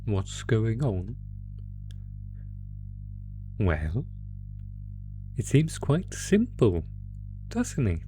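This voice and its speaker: A man voicing a sadistic, theatrical monster who taunts a prisoner in a horror roleplay, talking with drawn-out pauses. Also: on the low side, wildly swooping pitch, music in the background, exaggerated voice. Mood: smug, mock-sweet. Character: sadistic, gloating male monster stalking its prisoner through a maze. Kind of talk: ASMR horror roleplay audio drama.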